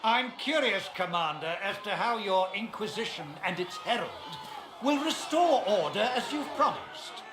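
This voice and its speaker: A man's voice: high voice, animated, normal speed, a crowd in the background. An actor playing a pompous, scornful male cleric in a fantasy video game, argumentative and indignant. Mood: sneering challenge. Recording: echoey space, faint ambient noise.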